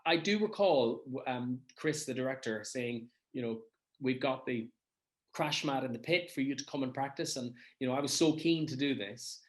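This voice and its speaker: A man's voice: medium pitch, normal speed. A man who performs in pantomime, telling anecdotes in a casual chat.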